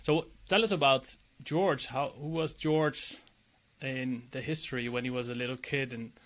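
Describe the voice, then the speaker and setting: A man hosting an interview podcast. His voice sits at an ordinary middle pitch, and he talks in an upbeat, chatty way, laughing easily and trailing off softly at the ends of his questions. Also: steady tone, moderate pace. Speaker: podcast host. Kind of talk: casual interview with a scripted intro.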